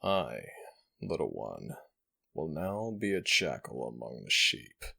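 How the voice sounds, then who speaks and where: A man voicing an ancient jackal god in an audio roleplay, regal and fondly exasperated, with formal, measured speech and a deep, gravelly voice. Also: very animated, pushed and bright, full character voice. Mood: conspiratorial.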